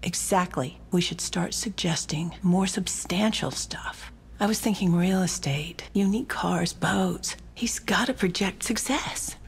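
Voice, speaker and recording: An actress playing an ambitious, scheming crime crew advisor in a video game, husky, breathy and pointed. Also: female voice, lowish voice, measured pace, whispered, full character voice. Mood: conspiratorial. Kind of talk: video game cutscene acting.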